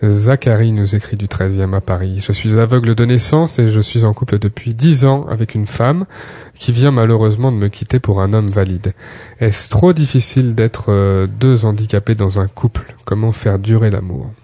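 Calm male radio host, low dark voice. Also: moderate pace, no room sound. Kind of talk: radio show hosting, reading listener questions. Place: radio studio.

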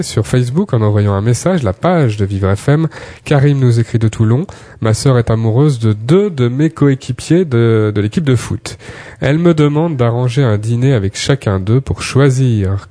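A man hosting a radio show, reading listener questions in a calm, measured way from a studio. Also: mid-range voice, dry recording. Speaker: radio host.

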